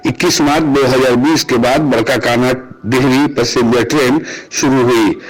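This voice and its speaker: Man, Indian accent, radio correspondent, mid-pitched voice, scripted news report. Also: low-quality recording.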